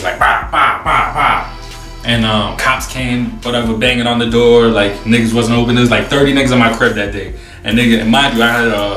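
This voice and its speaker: A man in his twenties with a New York accent, telling a story casually to camera in a slangy, profane, animated way. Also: mid-range voice. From New York City, USA. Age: 20s. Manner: slangy, profane, animated retelling with acted-out dialogue. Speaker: host. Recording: roomy echo, distant mic, faint music.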